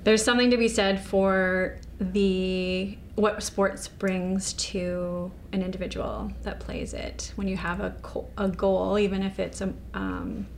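A woman's voice: mid-range voice, slow pace, few pauses, a little hiss or hum. A woman in her forties, a former professional snowboarder from Canada, answering interview questions in an easygoing way with many fillers. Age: 40s.

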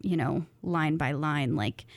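A woman speaking as a guest writer in a casual interview, thoughtful and self-deprecating, quick to laugh. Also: sits low, dry recording. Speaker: guest writer, graduate student of creative nonfiction.

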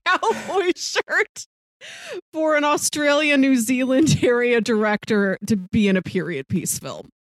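A woman's voice: on the high side, lively intonation, measured pace, dry recording, studio quality. A woman co-hosting a podcast in casual conversation, talking in a wry, lightly amused way. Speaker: podcast co-host. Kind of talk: casual chat.

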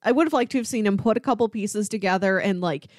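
A woman's voice: mid-range voice, quick pace, no room sound. A woman with a mid-range voice co-hosts a podcast in a casual, conversational style. She is recorded dry, with very little room sound around her. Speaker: podcast co-host. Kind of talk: casual comic and film discussion.

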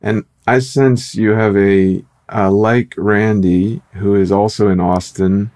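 Male interviewer: low, warm and mellow, conversational, gently hesitant phrasing. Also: steady tone, slow pace. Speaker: podcast host. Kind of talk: casual interview.

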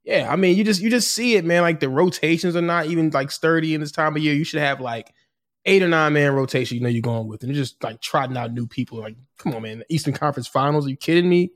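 A man co-hosting a casual sports podcast in a laid-back, halting way, peppering his talk with conversational tags. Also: a little high. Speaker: sports and culture podcast co-host.

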